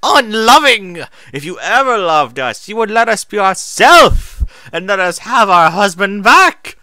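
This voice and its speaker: A man's voice: sits high, very animated, unhurried, pushed and bright, no echo, pitched above the performer's own voice, exaggerated voice. A man voicing an anguished young female alicorn, hurt and furious, wailing and screaming accusations in a fantasy story reading.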